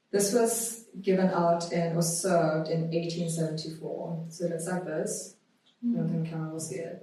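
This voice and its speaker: A woman guest on a podcast, talking conversationally and reading aloud in a measured, deliberate way. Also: lowish voice, mellow. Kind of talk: conversational interview, reading a historical notice aloud. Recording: very echoey room, distant microphone.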